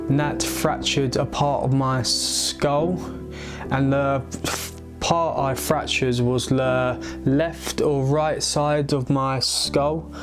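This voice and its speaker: A young man telling his own story to the camera, speaking with a stammer, halting often and restarting words. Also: medium pitch. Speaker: vlogger. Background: guitar music.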